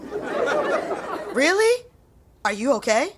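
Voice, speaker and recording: An actress playing a lively, unhurried young woman in an audio sitcom. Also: very high voice, some chatter behind, heavily acted. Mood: gossipy.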